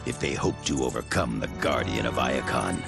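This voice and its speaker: An actor playing a grave, ominous narrator, giving solemn, portentous scripted narration for a video game. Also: male voice, very deep voice, moderate pace, gravelly, over loud music, heavily acted.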